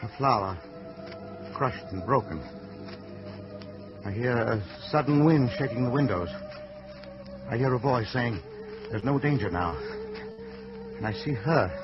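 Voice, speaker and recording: An actor playing a haunted mental wizard who foresees doom, speaking portentously in an old-time radio drama. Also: male voice, medium pitch, lively intonation, lightly acted. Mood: entranced dread. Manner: slow, halting, portentous delivery. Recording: dull vintage radio recording, loud music.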